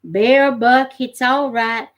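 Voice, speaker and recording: A woman's voice: on the high side, fairly even intonation, slow pace. Woman reading as Penny, a folksy backwoods father. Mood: instructive, folksy. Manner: folksy rural dialect, homespun explaining.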